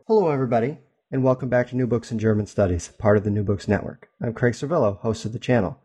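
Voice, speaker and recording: A man's voice: medium pitch, fast talker, music in the background. Male podcast host, hesitant, lots of ums, plain mid voice. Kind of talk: interview hosting.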